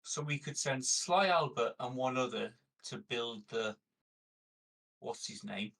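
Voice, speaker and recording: A man's voice: mid-range voice, a little slow, gravelly, a bit bright. A man chatting casually as a player in a tabletop game. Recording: slightly distant from the mic.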